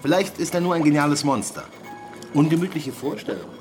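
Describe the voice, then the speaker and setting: An actor playing a sneering, suspicious young student in a radio drama, mocking and scornful. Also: male voice, on the high side, lively intonation, brisk, faint music behind, natural voice. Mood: scornful, uneasy. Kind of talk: German radio drama acting.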